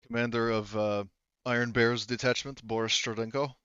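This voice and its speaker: A man acts out a captured male mercenary in a tabletop game, giving frank, halting testimony. The voice is smooth and medium-pitched, on a slightly dull recording. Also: steady tone, fairly bright tone, no room sound, lightly acted.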